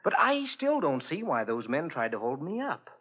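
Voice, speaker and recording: A man's voice: fairly high pitch, wildly swooping pitch, normal speed, lo-fi sound. An actor playing an earnest, puzzled young man caught in intrigue, in a 1940s radio drama on an old broadcast recording. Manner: earnest, questioning.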